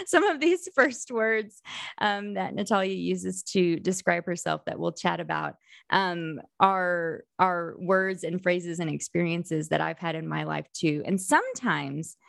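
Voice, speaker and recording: A woman's voice: on the low side, creaky, no room sound, studio quality. A woman hosting a faith podcast and interviewing a guest, earnest and thoughtful, with many ums and restarts. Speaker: podcast host.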